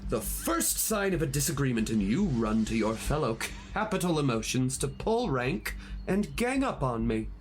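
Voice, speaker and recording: A woman's voice: very deep voice, sing-song, moderate pace, a little mellow, soft background music. A woman playing a bitter, scornful, rebellious emotion in an audio drama, with an imperious theatrical delivery. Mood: defiant. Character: bitter, rebellious personified Selfishness, indignant and scornful.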